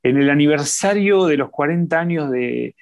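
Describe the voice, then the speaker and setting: Expressive Argentine man telling stories. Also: on the high side, normal speed, creaky. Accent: Argentine Spanish. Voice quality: warm, expressive, lingering on repeated words. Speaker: singer, interview guest. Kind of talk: reflective conversational storytelling.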